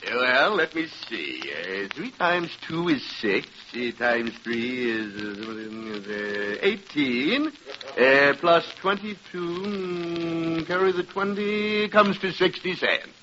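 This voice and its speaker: A folksy, stingy shopkeeper in an old radio sitcom, with a highish voice that swings widely in pitch. He needles with obtuse wisecracks. The recording is noisy. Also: lively intonation, slow, highs cut off. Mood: shifty, deliberate. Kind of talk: vintage radio sitcom acting.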